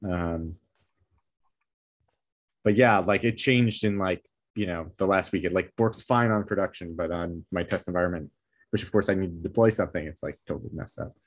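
Low-voiced man puzzling over a bug. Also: normal speed. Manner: hesitant, many fillers, thinking aloud. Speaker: meetup attendee asking for help. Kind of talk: casual video call chat.

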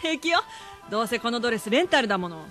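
A woman's voice: a little high, big pitch swings, fast, a bit bright, faint music behind. A woman playing a breezy, encouraging bar hostess in a Japanese radio drama, chirpy and flippant. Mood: breezy, cheering.

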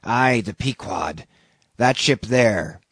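A man's voice: fairly low pitch, animated, slow pace, fairly bright tone, husky, no room sound, clean studio sound, exaggerated voice. A man voicing a shabby old sailor in an audiobook, an ominous, derisive stranger who talks insistently in archaic seafaring speech. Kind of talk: audiobook character reading.

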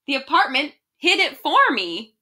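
Elderly storybook grandmother, read by a woman, high bright voice, forgetful, wry, good-humoured. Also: very animated, measured pace, full character voice. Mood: delighted realization.

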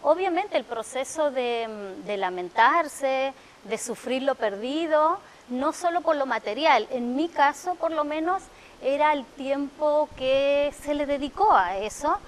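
Middle-aged woman, high voice. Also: expressive, unhurried, slight background noise. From Argentina (Mendoza). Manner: reflective, storytelling, frequent fillers. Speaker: guest, salon owner. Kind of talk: television interview. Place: TV studio living-room set.

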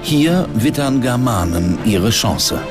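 A man's voice: medium pitch, lively intonation, takes it slowly. A man reading scripted documentary narration as the narrator. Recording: music bed under the voice. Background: music.

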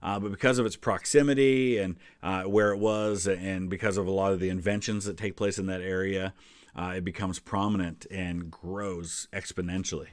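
A man's voice: sits low, fairly even intonation, moderate pace, no echo. A middle-aged American man giving casual, chatty explanatory commentary in a small indoor studio. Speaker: host reacting to a history quiz video.

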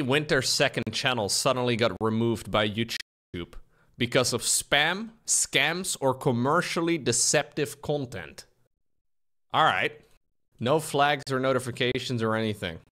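A man's voice: medium pitch, measured pace, pushed and bright. A young man streaming from a small room at home, chatting casually and jokingly while reading chat aloud. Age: young adult. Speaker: streamer editing a video. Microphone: desk mic, wearing headphones, webcam framing.

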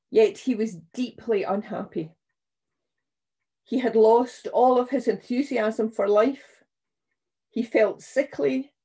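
An older woman minister reading scripture and preaching in a solemn, measured, deliberate way. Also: fairly high pitch, warm tone, husky. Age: 60s or older. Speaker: minister leading a church service. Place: small room at home, indoors.

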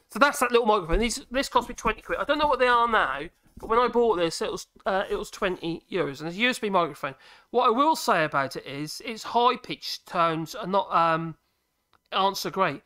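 Man, 40s, woodworking host, husky, bright and sharp tone, chatty and rambling, cluttered workshop, USB desk mic. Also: expressive, brisk. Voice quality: husky at the moment, fairly high voice. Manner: rambling, self-correcting, chatty. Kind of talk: casual live stream chat. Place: cluttered home workshop, indoors. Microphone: cheap USB desk microphone. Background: air compressor running at times.

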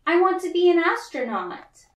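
A woman's voice: very high voice, big pitch swings, normal speed, voice pushed up. A woman voicing a hopeful, earnest, dreamy little girl in a children's storybook. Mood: determined. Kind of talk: children's storybook read-aloud. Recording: roomy, echoey, distant mic.

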